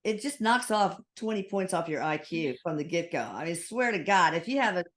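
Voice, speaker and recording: A woman's voice: lowish voice, animated, fairly quick. Chatty Texan woman host. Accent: Texas. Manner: chatty, opinionated, affirming. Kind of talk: casual interview.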